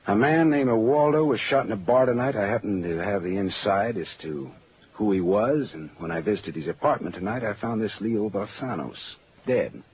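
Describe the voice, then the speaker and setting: An actor playing a wry, hardboiled American private eye in an old radio drama, cool and sardonic, on a muffled, noisy recording. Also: male voice, fairly low pitch, normal speed, natural voice. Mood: businesslike. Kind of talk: mid-century radio drama acting.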